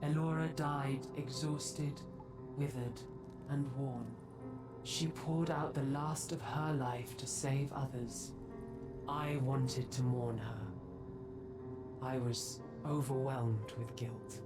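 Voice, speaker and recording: Ancient female centaur guardian, grave n formal, deep and really slow, game music behind. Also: steady tone. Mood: remorseful, grave. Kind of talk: dramatic fantasy game voice acting.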